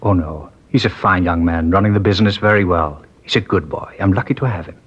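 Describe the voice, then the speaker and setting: An actor playing a mild, trusting older man who owns a small dress factory, talking in a gentle, hesitant and rambling way in a 1950s radio drama. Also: low voice, fairly quick, slightly rough. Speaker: witness in a police interview. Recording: muffled vintage radio broadcast.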